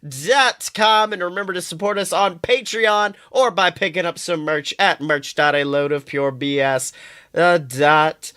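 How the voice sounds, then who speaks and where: A man co-hosting a podcast in casual comedic chat, swearing freely with animated exclamations. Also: on the high side, measured pace, clean tone. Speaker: podcast co-host.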